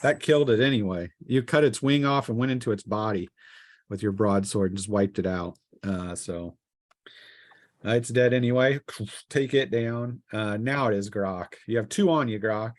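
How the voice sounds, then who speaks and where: A man's voice: fairly low pitch, normal speed, dry recording. A man acting as game master, casually narrating a tabletop role-playing session and calling out turns.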